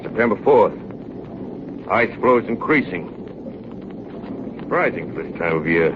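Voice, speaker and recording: An actor playing a sober seafarer who narrates a polar voyage from his journal in measured 1930s radio style. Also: lowish voice, lively intonation. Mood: grave, matter-of-fact. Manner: measured, grave storytelling. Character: seasoned, sober ship's engineer recounting a polar voyage. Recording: muffled, hissy 1930s radio recording.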